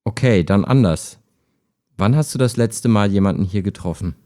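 A man's voice: fairly low pitch, lively intonation, normal speed, warm tone, close to an everyday voice. A man playing a skeptical, wistful chatbot that talks like a human in an intimate radio play, understated and hesitant. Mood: pensive.

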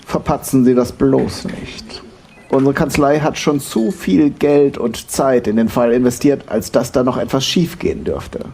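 A man playing a brisk, demanding senior law partner in an audio drama. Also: medium pitch, creaky, darkish tone, a little hiss or hum. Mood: brisk, stern. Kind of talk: German audio drama dialogue.

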